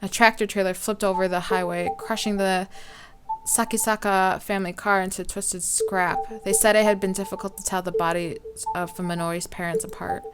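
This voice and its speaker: A woman's voice: mid-range voice, steady tone, moderate pace, noisy background. A woman hosting a let's play, reading a visual novel aloud informally, with some stumbles and game music behind her.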